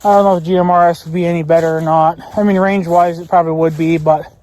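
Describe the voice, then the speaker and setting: A man's voice: a little high, flat delivery, quick pace, a little mellow. An American man talking in a rambling, casual vlog style, sounding resigned and grumbling, with some swearing. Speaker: vlogger.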